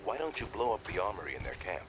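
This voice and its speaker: An actor playing a calm, secretive undercover contact who gives businesslike mission instructions in a video game. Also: male voice, sits low, quick pace, noisy background. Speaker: mission guide. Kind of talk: video game cutscene acting.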